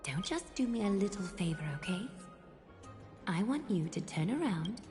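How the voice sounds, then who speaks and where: A woman playing a stern, regal demigod lady in a game, speaking in a measured, imperious, mocking way. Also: medium pitch, big pitch swings, close to an everyday voice. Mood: cold menace. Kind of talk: scripted game voice acting. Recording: loud music behind.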